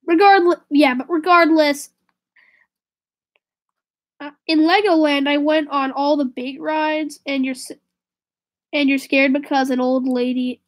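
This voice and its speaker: A woman live streaming and chatting casually with viewers, slightly raspy with a stuffy nose from a cold, rambling and correcting herself. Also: sits high. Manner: rambling, self-correcting, drifts to a whisper.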